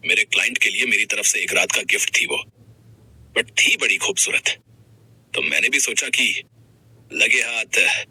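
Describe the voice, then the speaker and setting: A man's voice: on the low side, a little slow, long pauses, bright, forceful tone, breathy edge, no echo, full character voice, low-quality recording. A man voicing an arrogant, callous young husband and businessman, curt, scolding and dismissive in manner.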